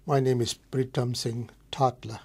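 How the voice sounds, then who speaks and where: A man's voice: mid-range voice, level tone, a little slow, a little mellow, slightly rough. A man in his seventies who grew up in Malaysia, recalling his school days and army enlistment in a matter-of-fact way. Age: 70s. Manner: plain, matter-of-fact recollection. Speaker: oral history interviewee. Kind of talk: reminiscing interview.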